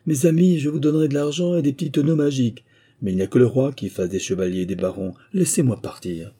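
A man voicing a blustering male wind spirit from a storybook, with a gruff big voice. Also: mid-range voice, fast talker, warm tone, pitched above the performer's own voice, lightly acted. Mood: pleading. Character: blustering giant wind spirit, booming, then pleading. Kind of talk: storybook character voice.

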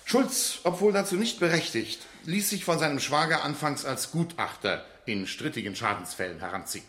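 A man's voice: on the high side, animated, normal speed, fairly bright tone. A man playing a stern, formal judge in a radio drama, declaiming a verdict in official language. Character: stern formal male judge pronouncing sentence. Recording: roomy, echoing courtroom-like acoustic.

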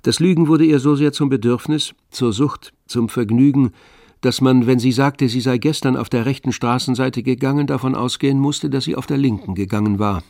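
An actor playing the detached, wry narrator of a literary radio play, with a gravelly voice. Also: male voice, mid-range voice, normal speed, darkish tone, dry recording, clean studio sound, close to an everyday voice.

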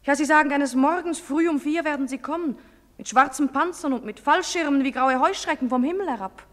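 A woman's voice: sits high, a little fast, fairly bright tone. An actress playing a defiant, wary nineteen-year-old village girl in a German stage drama, with curt, indignant delivery. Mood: anxious. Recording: echoey theatre-style hall reverb.

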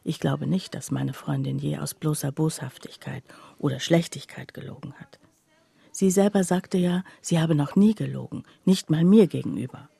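An actress playing a skeptical woman confiding about her friend in a radio play, her voice husky and breathy and her delivery hushed. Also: deep voice, moderate pace, dry recording, exaggerated voice. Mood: doubtful, grave. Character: skeptical female friend recounting her friend's odd behaviour. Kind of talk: literary radio play narration.

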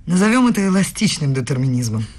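A husky young woman, a clever student in an intimate radio play. She is coy and quick-witted, teasing with formal politeness. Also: low-pitched, sing-song, a little mellow. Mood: composed, knowing. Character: young female student, clever, coy, bold in secret love. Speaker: radio drama actress.

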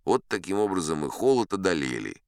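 A man's voice: low voice, sing-song, fairly quick, clean tone, no room sound, studio quality. An actor playing a boastful old sea captain, telling his tall tales in a folksy, self-assured, conversational way. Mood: self-satisfied. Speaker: audiobook performer narrating in character. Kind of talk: dramatic first-person storytelling.

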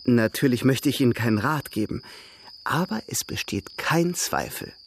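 An actor playing an urbane young brother in a radio drama, persuading in a courtly, playful, eager way. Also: male voice, mid-range voice, animated, normal speed, creaky, slight background noise. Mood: eager, persuasive.

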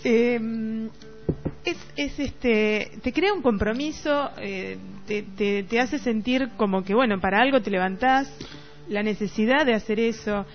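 Female radio host, middle-aged, Rioplatense accent, reflective and halting, with music playing behind a muffled recording. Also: a little high. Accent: Rioplatense Argentine Spanish. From Argentina. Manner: halting, searching for words. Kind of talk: casual reflective radio discussion.

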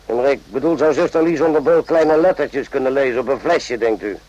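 An actor playing an irritable, suspicious army medic in a radio drama, with a gruff, interrogating manner. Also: male voice, mid-range voice, a little fast, darkish tone, close to an everyday voice. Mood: brooding, suspicious. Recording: dull lo-fi radio play recording.

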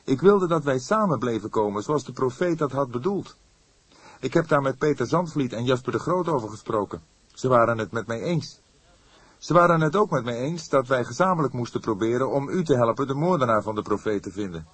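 Man voicing an excited, high-pitched anxious young man. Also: a little fast, husky, no room sound. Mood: earnest, calmer. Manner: breathless, insistent, earnest.

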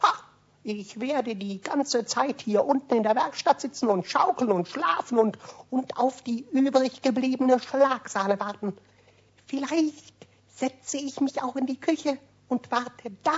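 Greedy cheeky little kobold, high childlike voice, pleading n boasting, dull old radio play sound. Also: female voice, a little slow, breathy edge, heavily acted. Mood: stubborn, boastful.

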